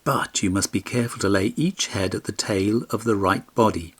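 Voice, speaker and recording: A man's voice: lowish voice, normal speed, fairly bright tone, breathy edge. A man voicing a magical talking pony, really an enchanted prince, giving commands and questions in a storybook. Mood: solemn explaining.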